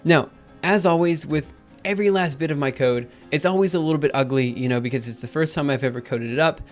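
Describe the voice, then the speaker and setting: Guy coding on a stream, kinda high voice, a bit raspy, talks himself through it, clacky keys and faint music behind. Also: quick pace. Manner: thinks aloud while typing, chatty and upbeat. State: slightly raspy after a drink. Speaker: programming livestreamer. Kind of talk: live coding stream, casual talk-through.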